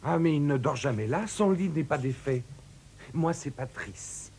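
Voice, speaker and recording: An actor playing a jovial, gullible hotel employee in a French cartoon dub. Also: male voice, fairly high pitch, lively intonation, measured pace, darkish tone, breathy edge, full character voice. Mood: officious, obliging. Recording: music behind the voice.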